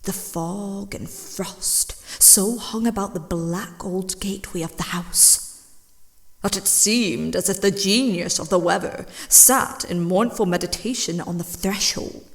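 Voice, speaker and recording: A woman reading classic fiction as a dramatic audiobook, with theatrical, deliberate delivery that drops into hushed phrases. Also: mid-range voice. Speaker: audiobook reader and voice actress.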